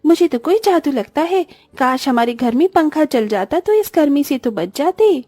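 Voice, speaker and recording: Woman voicing a high, eager young cartoon girl. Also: big pitch swings, moderate pace, dark tone, no room sound. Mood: amazed, eager. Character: excitable young orphan sisters in a cartoon. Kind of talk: Hindi cartoon story dialogue.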